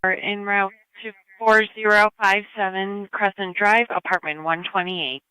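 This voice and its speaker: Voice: mid-range voice, steady tone, unhurried, sharp tone, clean tone, low-quality recording. An emergency dispatcher reading out calls in a clipped, procedural way over a two-way radio scanner stream. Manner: clipped, procedural, repeats details.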